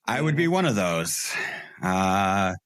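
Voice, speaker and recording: A man's voice: low-pitched, very animated, takes it slowly, a bit bright, dry recording. A man in his forties, the game master and host, talking casually on a podcast in an easygoing way.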